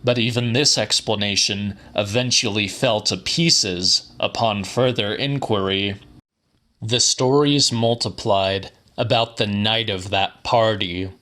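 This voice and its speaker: A man giving a deliberate, theatrical dramatic reading of literary weird fiction as an audiobook narrator. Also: on the low side, pushed and bright.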